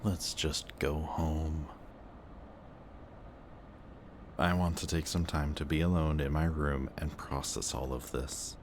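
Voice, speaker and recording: A man playing a wounded, protective antihero who insists he is a villain, in an emotional, intimate audio roleplay with a halting delivery. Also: very deep voice, fairly even intonation, noisy background. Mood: hurt, weary. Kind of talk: emotional audio roleplay acting, addressing the listener.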